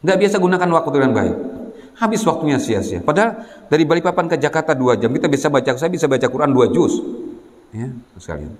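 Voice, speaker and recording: A man's voice: fairly high pitch, sing-song, quick pace. Male preacher in a reverberant hall. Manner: repetitive, admonishing, frequent "ya" check-ins to listeners. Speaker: Islamic boarding school teacher and preacher. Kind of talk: religious exhortation to students.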